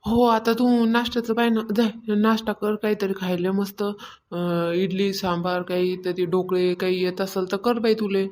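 A woman's voice: mid-range voice, little pitch movement, moderate pace, a little mellow, a little hiss or hum, natural voice. A woman voicing a bossy, stingy, self-important mistress of the house in a cartoon comedy, nagging in colloquial Varhadi Marathi. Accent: Varhadi Marathi, rural Maharashtra.

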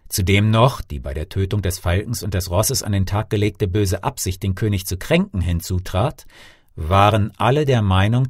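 A man reads a fairy tale as an audiobook storyteller. His voice is low with a very animated melody, recorded dry. The delivery is measured, expressive and literary. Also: dry recording. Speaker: storyteller, actor.

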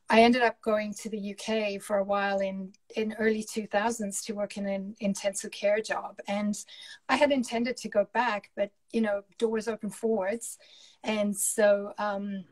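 A woman in her forties from South Africa with a South African accent, talking about her career in a relaxed, reflective way over a webcam video call from home. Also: mid-range voice, low-quality recording. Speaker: journal editor-in-chief. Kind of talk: casual interview chat. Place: home room with curtain. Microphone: laptop webcam on video call.

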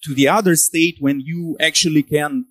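Higher-voiced man giving a tech talk. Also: steady tone, normal speed, no echo. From Portugal, where he says he came from. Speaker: conference speaker. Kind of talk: technical conference presentation. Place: on stage in a conference hall.

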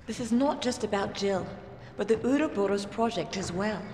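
A woman's voice: medium pitch, lively intonation, normal speed, noisy background, lightly acted. An actress playing a determined young female agent, urgent and insistent, in a video game cutscene. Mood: resolute. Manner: urgent, questioning.

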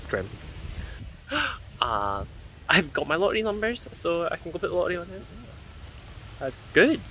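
A man's voice: fairly high pitch, sing-song, normal speed, pushed and bright, a little hiss or hum, low-quality recording. A young man chatting casually and upbeat as he films his travel vlog on a phone held at arm's length outdoors. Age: young adult. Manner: chatty, upbeat, rambling asides. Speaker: travel vlogger. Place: outdoors at temples and parks. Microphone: phone camera at arm's length.

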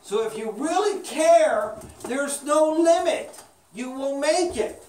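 A woman with a fairly high voice teaches young children at a slow, deliberate pace, often repeating herself. She is recorded from across a small, echoey classroom. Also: a little mellow. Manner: repeats questions and phrases slowly. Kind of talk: question-and-answer lesson to young children. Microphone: far camera. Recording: distant camera mic, roomy, background noise.

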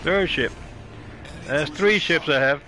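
A man's voice: on the high side, takes it slowly, pushed and bright, soft background music. A man doing casual live commentary as a gaming streamer, rambling and repeating his phrases.

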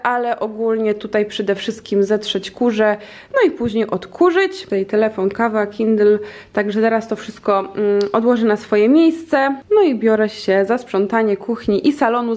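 A woman's voice: a little high, a little mellow. A young woman from Poland in her twenties, chatting casually to her viewers in a clean-with-me vlog, recorded on a compact camera mic in her home kitchen. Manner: chatty, addresses viewers directly, frequent fillers. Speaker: vlogger. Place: home kitchen and living room, indoors. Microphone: compact vlogging camera mic.

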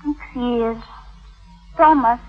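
Poised, high-voiced young Victorian wife. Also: female voice, slow, soft background music. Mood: coaxing. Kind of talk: 1950s radio drama acting. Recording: dull vintage 1950s radio recording.

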